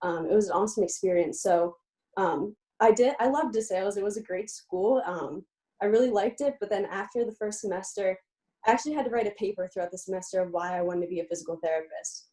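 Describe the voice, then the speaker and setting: A woman's voice: medium pitch, fast talker, warm tone, low-quality recording. A young woman from Pennsylvania, a college student-athlete and guest on an interview show, talking eagerly and chattily with frequent "um" fillers. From Lebanon, Pennsylvania, USA. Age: young adult. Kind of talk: casual interview.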